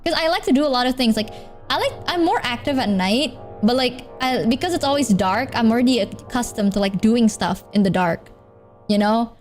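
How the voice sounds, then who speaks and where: Woman streamer, higher voice, rambling and giggly casual chat. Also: animated, brisk, faint music behind. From Indonesia. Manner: rambling, giggly, leans on filler words.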